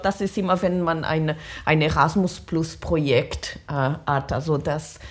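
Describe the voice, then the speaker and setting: A woman's voice: deep voice, a little mellow. A middle-aged woman from Portugal with a Portuguese accent, giving reflective interview answers and searching for her words. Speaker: professor of language education, interview guest.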